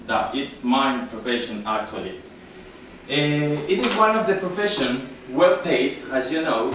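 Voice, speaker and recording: A man from Ecuador with a Spanish accent gives an informal classroom presentation in halting, non-native English, often checking with a short tag question. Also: mid-range voice, animated. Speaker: student presenter, football coach. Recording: very echoey room, distant mic, noisy.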